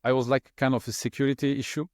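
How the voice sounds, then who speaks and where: A middle-aged man whose first language is French, speaking as an interview guest in a relaxed, hesitant way with many fillers and restarts. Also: medium pitch, fast talker, no room sound, studio quality. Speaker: interview guest, LNG specialist. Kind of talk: conversational interview.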